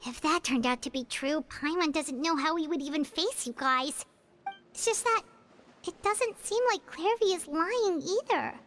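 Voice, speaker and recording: A woman's voice: sits high, expressive, a little slow, a bit bright, slight background noise. A woman voicing a small chatty fairy-like companion in a video game, who talks about herself in the third person. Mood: worried. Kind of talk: video game cutscene dialogue.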